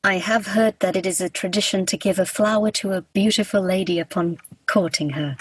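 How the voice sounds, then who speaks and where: A woman's voice: mid-range voice, steady tone, brisk, breathy edge, no echo, natural voice. A woman acting in a radio play as an awkward, earnest female Grim Reaper who speaks in stiff, formal, literal phrases. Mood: earnest, unsure.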